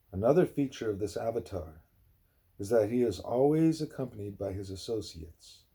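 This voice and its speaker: A man reading a spiritual book aloud in a measured, explanatory way, with a husky voice. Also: on the low side, mellow. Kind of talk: read-aloud scripted narration.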